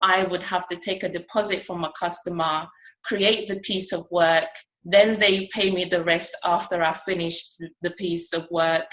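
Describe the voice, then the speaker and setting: Soft-spoken woman giving a conference speech. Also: lowish voice, fairly even intonation, poor audio. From Ghana. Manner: earnest, conversational, with many "um" pauses. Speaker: artist speaking at a conference.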